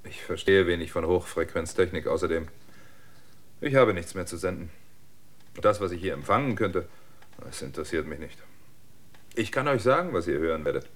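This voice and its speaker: An actor in a German radio drama playing a sober, world-weary outsider who speaks in a dry, matter-of-fact way. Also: male voice, sits low, lively intonation, normal speed, long pauses. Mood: bitter.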